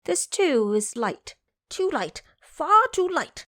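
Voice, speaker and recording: A woman voicing a brash, cocky male monkey king in an audio drama, boastful and playful, jumping high on excited words. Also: slow pace, no echo, clean studio sound, exaggerated voice. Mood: scornful.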